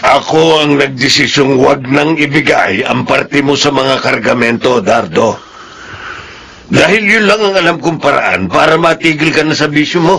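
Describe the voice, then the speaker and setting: An actor playing a stern but caring elder brother in a Filipino radio melodrama, admonishing and earnest. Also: male voice, medium pitch, animated, normal speed, creaky, fairly bright tone. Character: stern, reproving elder brother who still cares.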